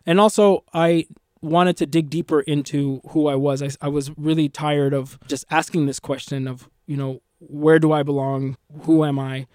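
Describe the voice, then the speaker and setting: A man's voice: a little high, fairly even intonation, normal speed, a little mellow, clean tone, no echo, studio quality. A man whose first language is Arabic, talking reflectively as a musician guest in an interview, often restarting his sentences and adding "you know". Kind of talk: interview conversation.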